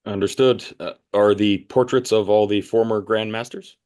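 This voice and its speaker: A man's voice: lowish voice, steady tone, moderate pace, darkish tone, clear, resonant tone, lightly acted. A man playing a polite, earnest, inquisitive interviewer in an audio play, hesitant and prone to stammering.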